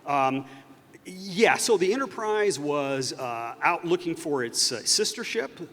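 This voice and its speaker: A man telling a story off the cuff as a panelist at a live fan panel, speaking in a halting, thoughtful way. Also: fairly high pitch, animated, fairly bright tone. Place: large convention stage. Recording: echoey hall PA with faint noise.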